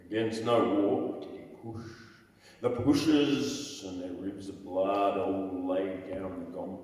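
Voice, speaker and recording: A man with a croaky voice, hosting an open mic and reciting his own poetry in a dramatic, chanting way with sudden loud rises. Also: lowish voice. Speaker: open mic host and poet. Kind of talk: performance poetry reading. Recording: echoey hall, mic far off.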